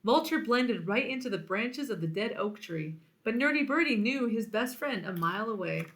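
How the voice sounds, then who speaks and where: A woman reading a children's storybook aloud in an expressive narrating style. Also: a little high, moderate pace. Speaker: storybook reader.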